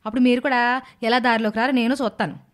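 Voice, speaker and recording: A stern middle-aged aunt , played by a woman, in a cartoon moral story. She scolds with measured, mock-sweet sarcasm and hands out threats like a boss of the house. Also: a little high, flat delivery, natural voice. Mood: mocking, commanding.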